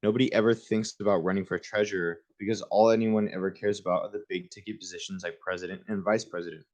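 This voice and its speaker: A man's voice: low-pitched, fairly even intonation, fast talker, darkish tone. A man reading a children's book aloud in an informal way, with casual asides of his own. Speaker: reader hosting a book livestream.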